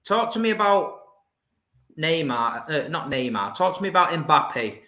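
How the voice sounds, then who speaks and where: Podcast host guy, bright punchy voice, easygoing, just asks straight questions. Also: fairly high pitch, big pitch swings, measured pace. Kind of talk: casual podcast chat.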